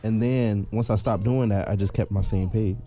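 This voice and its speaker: A man's voice: lowish voice, fairly even intonation, normal speed, dark tone, soft background music. A young man in a casual group chat, easygoing, with hesitant restarts as he explains.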